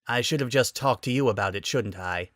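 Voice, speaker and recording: A man's voice: mid-range voice, fairly quick, fairly bright tone, dry recording, studio quality, lightly acted. A man voicing an awkward, boyish young prince with a self-conscious manner in a romantic comedy story reading. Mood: sheepish. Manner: flustered, sheepish, self-conscious. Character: awkward young prince, flustered, touchy-feely and boyishly petulant. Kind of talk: dramatic reading of romantic comedy fan fiction.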